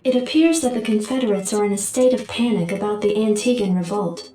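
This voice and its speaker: A woman voicing a calm computer adjutant, echoey. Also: medium pitch, brisk, faint music behind, close to an everyday voice. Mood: reporting. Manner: even, clipped status reports. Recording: echoey, distant comms sound.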